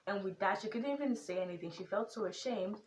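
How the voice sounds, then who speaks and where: A woman's voice: mid-range voice, quick pace, reverberant, slight background noise, poor audio. A teenage girl from Nigeria with a Nigerian accent tinged with British and American, speaking earnestly and emphatically in a casual vlog. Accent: Nigerian, with some British and American. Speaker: content creator.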